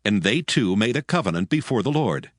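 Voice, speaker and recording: A man giving scripted narration of dramatized scripture in a solemn, measured storytelling manner. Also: lowish voice, sing-song, no room sound, clean studio sound. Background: faint background music.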